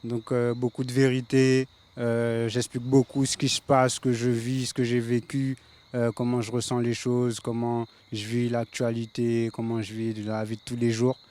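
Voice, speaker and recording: A man's voice: medium pitch, completely flat delivery, moderate pace, a little mellow. A man in his late thirties from the Paris suburbs, a reggae singer, talking in a laid-back way with many fillers. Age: 30s. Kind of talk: casual interview.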